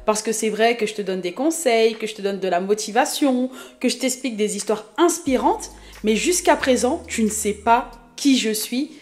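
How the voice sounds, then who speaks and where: Chatty woman in her thirties, higher voice. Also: normal speed. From Haiti. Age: 30s. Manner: chatty, self-mocking, addresses viewer directly. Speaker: YouTube vlogger. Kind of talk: casual self-introduction to viewers.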